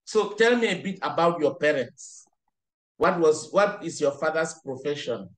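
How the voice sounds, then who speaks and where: A man's voice: on the high side, animated, moderate pace. A man tutoring English one-on-one online, patiently correcting a learner and explaining words in French.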